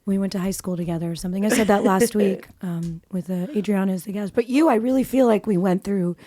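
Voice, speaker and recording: A woman's voice: mid-range voice, fast talker, warm tone, no room sound. Woman host, scratchy from a cold, rambly casual chat, dry close sound. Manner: chatty, rambling, with many fillers and restarts. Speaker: podcast host.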